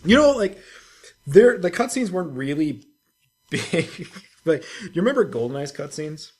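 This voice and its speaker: A man with a fairly high-pitched voice co-hosts a gaming podcast. He talks casually and informally, telling stories with animation and plenty of swearing. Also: sing-song, a little slow, darkish tone. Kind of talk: casual chat about video games.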